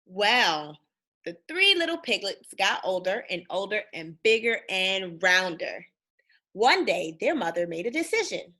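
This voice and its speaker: A woman's voice: medium pitch, big pitch swings, a little slow, bright, forceful tone. A woman reading a children's picture book aloud expressively, stopping to put questions to young listeners. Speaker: storybook reader. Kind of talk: animated read-aloud of a children's storybook.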